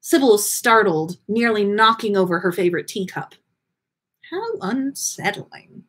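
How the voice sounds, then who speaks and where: Woman reading a story out loud, kinda husky, slow n dramatic, pitch goes all over. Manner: theatrical, expressive, with drawn-out words and pauses. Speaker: podcast host reading listener fan fiction on a livestream.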